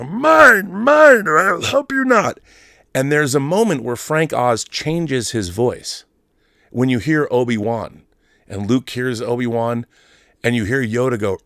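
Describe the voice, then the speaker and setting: A man's voice: medium pitch, no echo, clean studio sound. A man in his fifties hosting a film fan show with casual, emphatic commentary, often digressing and repeating phrases for stress. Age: 50s. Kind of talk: casual live commentary and reading viewer mail.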